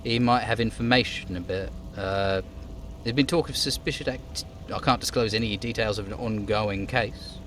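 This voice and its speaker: A man's voice: fairly low pitch, normal speed, fairly bright tone, slight background noise, natural voice. A man voicing a police detective in a video game, asking questions in a hesitant, matter-of-fact way. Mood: evasive. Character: male police detective, inquisitive and awkwardly evasive.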